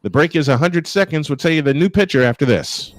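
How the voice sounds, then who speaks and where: A man's voice: fairly high pitch, lively intonation, fast, noisy background. A man doing live baseball play-by-play, mixing commentary with casual banter as host.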